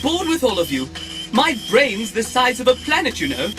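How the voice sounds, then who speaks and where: An actor playing a fussy, haughty, malfunctioning protocol droid in an audio drama, with a prissy, pompous, theatrical delivery. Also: male voice, extremely high pitch, sing-song, moderate pace. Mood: bored, haughty. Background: music.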